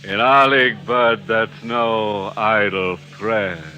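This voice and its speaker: Slow, ghoulish horror radio host. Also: male voice, fairly low pitch, steady tone, pushed and bright, highs cut off, a little hiss or hum. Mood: gleefully macabre. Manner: sardonic, theatrical, drawn-out delivery with morbid glee. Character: ghoulish, mocking horror-show host, relishing the macabre. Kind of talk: 1940s radio mystery acting. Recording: old radio broadcast recording.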